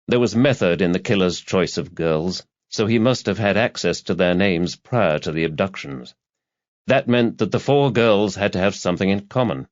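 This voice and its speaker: A man's voice: low-pitched, no room sound. A man narrating a crime thriller audiobook in a scripted, dramatic storytelling style. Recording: slightly dull, lo-fi audio.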